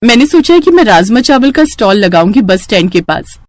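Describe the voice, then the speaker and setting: Cartoon young daughter-in-law, acted by a woman, courteous and deferential, lively intonation. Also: mid-range voice, a little fast, no room sound, studio quality, natural voice. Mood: polite. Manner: polite, deferential. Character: polite, hard-working young daughter-in-law, secretly a police inspector. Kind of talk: Hindi cartoon moral story.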